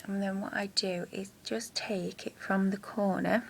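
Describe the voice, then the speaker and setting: Young woman, croaky gravelly voice, rambling chatty tutorial, close camera mic, faint hiss. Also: medium pitch, level tone, a little slow. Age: young adult. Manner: chatty, rambling, with hesitant fillers. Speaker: makeup vlogger. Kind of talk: casual makeup tutorial. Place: small room at home, indoors. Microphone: built-in camera mic at close range.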